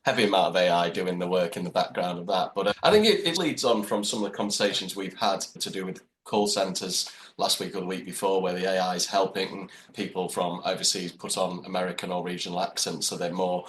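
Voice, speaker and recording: Man, very low voice, chatty and rambling, faint background hum. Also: a little fast, hardly any pauses, fairly bright tone, rough recording. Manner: rambling, chatty, laughs while talking. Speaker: podcast co-host. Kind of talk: casual chat.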